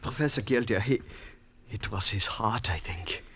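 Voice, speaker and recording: An actor playing a frightened household servant, stammering and urgent, in a 1940s radio play. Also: male voice, on the low side, normal speed, background noise, close to an everyday voice. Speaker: Pitting, the servant. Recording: dull lo-fi 1940s radio broadcast from off-mic.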